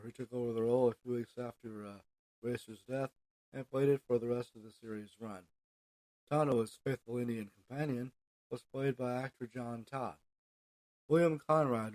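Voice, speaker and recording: American man, dark-toned, halting podcast delivery. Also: medium pitch, dry recording, low-quality recording. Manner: halting, stumbling over names and phrases. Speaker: podcast host. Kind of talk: informal solo podcast, reading from notes.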